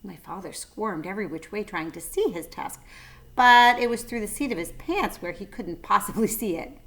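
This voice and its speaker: A woman's voice: medium pitch, lively intonation, normal speed, fairly bright tone. A woman reading a children's storybook aloud, adding short asides for young listeners.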